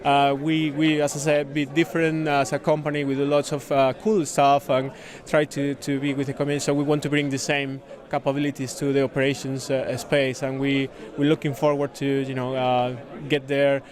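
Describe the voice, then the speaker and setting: A man from Spain with a Spanish accent, a native Spanish speaker, explaining a product in a casual interview with halting, repeated words. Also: mid-range voice, completely flat delivery. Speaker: software consultant demonstrating a product, interviewee. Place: busy conference venue.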